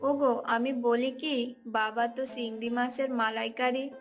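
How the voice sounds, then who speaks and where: A woman's voice: fairly high pitch, steady tone, moderate pace, natural voice. A woman voicing a caring but bossy village wife and mother in a cartoon fairy tale. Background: background music.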